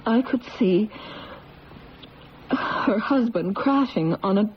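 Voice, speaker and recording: A woman's voice: mid-range voice, animated, takes it slowly. A woman acting an earnest, troubled young woman in a radio drama, with an intense, dramatic delivery. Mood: haunted. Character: earnest, troubled adopted young woman seeking her origins. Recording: muffled, noisy old radio broadcast.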